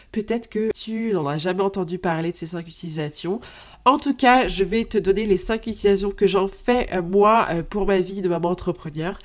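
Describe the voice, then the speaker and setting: A woman's voice: medium pitch, a little mellow. A young adult woman hosting a podcast with a head cold and a nasal voice, chatting upbeat and straight to the listener. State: head cold, stuffy nose. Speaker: podcast host. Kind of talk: casual solo podcast talk to the listener.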